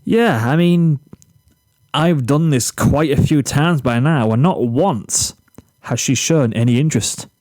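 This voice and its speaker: A man's voice: medium pitch, measured pace, a little mellow, no room sound, natural voice. A man voicing a cocky, oblivious teenage boy, whiny and smug, in a story reading. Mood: earnest. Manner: smug, complaining.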